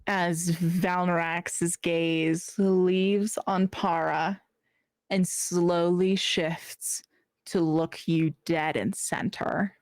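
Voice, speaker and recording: Slow, low-voiced woman game master. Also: steady tone, dry recording. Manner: slow, deliberate narration with frequent fillers. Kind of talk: narrating a tabletop role-playing game.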